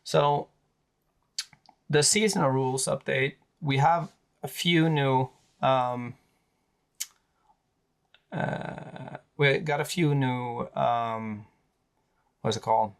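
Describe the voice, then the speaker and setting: A man with a Swedish accent, a native Swedish speaker, talking casually through tabletop army lists with many pauses and fillers. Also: mid-range voice, gravelly. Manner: hesitant, many fillers and restarts. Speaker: tabletop wargaming creator.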